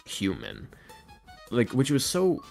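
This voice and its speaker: A man chatting casually as a podcast guest, animated and halting, often repeating himself as he goes. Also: medium pitch, vocal fry. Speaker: podcast guest, ASMR roleplay creator and voice actor. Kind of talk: casual interview chat. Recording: music playing behind the voice. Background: background music.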